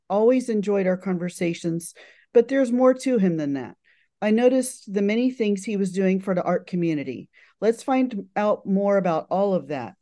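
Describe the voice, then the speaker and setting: Female podcast host, mellow voice, encouraging and attentive. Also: mid-range voice. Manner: encouraging, brief affirmations. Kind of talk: interview hosting.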